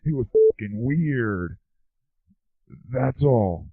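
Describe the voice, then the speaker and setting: A man rambles in slang as a comic guest, with a stoner-like slacker delivery. His medium-pitched voice comes over a dry, tinny phone line. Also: animated, very slow, no room sound.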